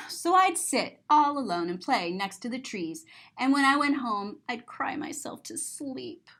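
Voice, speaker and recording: A woman's voice: high voice, very animated, a little slow, a bit bright, exaggerated voice. A woman voicing a sensitive, big-hearted little girl who tells her own story in an earnest, rhyming storybook style. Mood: sad, hurt.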